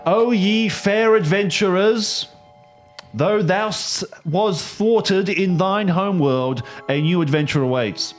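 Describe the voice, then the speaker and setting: A man voicing a pompous company representative of a goddess, reciting in grandiose, drawn-out old-fashioned English over music. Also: high-pitched, voice pushed up. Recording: music behind the voice.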